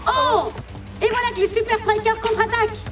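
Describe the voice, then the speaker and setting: A woman playing an excited football match commentator in a cartoon dub. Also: extremely high pitch, moderate pace. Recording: distant voice under loud music.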